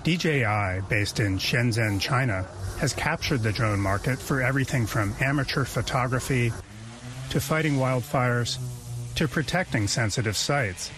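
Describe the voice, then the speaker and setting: A male reporter narrates a news story in a measured way, at mid pitch. A faint noise sits in the background. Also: fairly bright tone.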